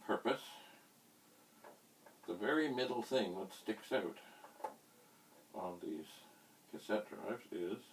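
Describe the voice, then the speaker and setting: Male hobbyist demonstrator, very unhurried, deliberate and quaintly theatrical in wording; distant mic with faint noise. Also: fairly low pitch. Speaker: vintage computer hobbyist presenting a how-to vlog. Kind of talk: unscripted hands-on demonstration chat.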